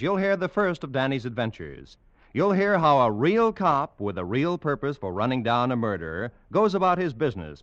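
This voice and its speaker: A man as a formal radio announcer reading credits and promotions in a crisp, measured way. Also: medium pitch, a bit bright, no room sound. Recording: muffled old radio broadcast.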